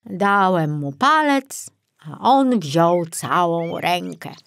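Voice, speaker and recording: Storybook forester, a mild man, tired and put-upon, slow and unsure, performed by a female narrator. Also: on the low side, expressive, gravelly, a bit bright, no echo, full character voice. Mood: resigned. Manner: sighing, muttering, uncertain.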